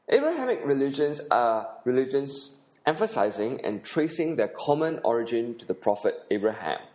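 Animated Singaporean man guiding a tour. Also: mid-range voice, poor audio. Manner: earnest, instructive, with occasional uh fillers. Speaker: podcast host and audio tour guide. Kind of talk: scripted audio tour narration.

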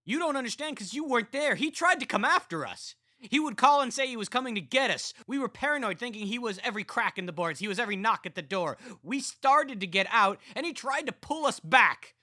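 A man voicing a young jailed killer who talks to his family with bitter contempt and builds to heated shouting. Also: fairly low pitch, lively intonation, moderate pace, pauses often, no echo, studio quality, voice pushed up.

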